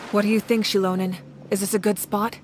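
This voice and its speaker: A woman's voice: mid-range voice, flat delivery, brisk, background noise, lightly acted. Composed young female squad leader played by an actress. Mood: inquiring. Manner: composed, purposeful. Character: steady, vigilant young female squad leader in fantasy adventure. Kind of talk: video game voice acting. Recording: game music behind the voice.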